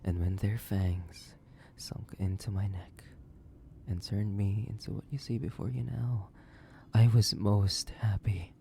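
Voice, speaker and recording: Guy doing a sadistic old vampire for horror roleplay, low dark voice, breathy whispers, savoring every word, faint noise behind. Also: steady tone. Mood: seductive reminiscing. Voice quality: gravelly, breathy, often dropping to a whisper. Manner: slow, theatrical, savoring, condescending. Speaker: audio roleplay voice actor. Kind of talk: horror audio roleplay monologue.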